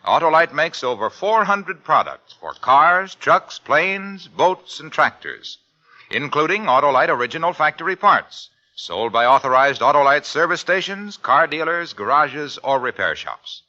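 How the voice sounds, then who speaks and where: Very bright, forceful vintage radio announcer man. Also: a little high, sing-song, measured pace. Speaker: radio commercial and credits announcer. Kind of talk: 1950s scripted radio announcing. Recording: muffled old radio recording, music behind.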